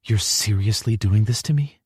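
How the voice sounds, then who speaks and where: A man's voice: on the low side, normal speed, a little mellow, slightly rough, dry recording, studio quality, pitched above the performer's own voice. A man voicing a nervous, flustered young woman. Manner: anxious, flustered.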